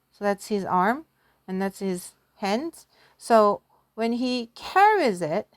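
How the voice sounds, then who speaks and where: A woman's voice: on the high side, no echo, clean studio sound. A woman giving a casual lesson through storytelling, chatty and self-deprecating, often thinking aloud as a teacher. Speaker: Korean language teacher.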